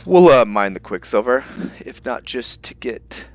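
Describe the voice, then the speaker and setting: A man's voice: medium pitch, expressive, measured pace, muffled recording. A man giving casual, chatty gameplay commentary as a video host, thinking aloud with enthusiasm.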